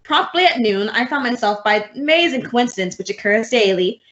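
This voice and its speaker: Wry, practical lady teacher for radio comedy, woman actor, voice on the high side, muffled recording. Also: animated, a little fast, a bit bright, a little hiss or hum. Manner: dry, wry. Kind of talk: old-time radio comedy acting with narration. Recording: dull lo-fi radio drama audio.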